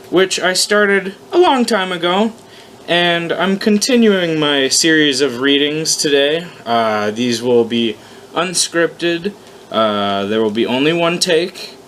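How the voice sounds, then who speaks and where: A man's voice: on the high side, a bit bright. A man hosting an unscripted reading of his own story, with casual, profane, self-deprecating commentary. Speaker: host reading own fan story aloud. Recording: noticeable background noise, amateur one-take recording.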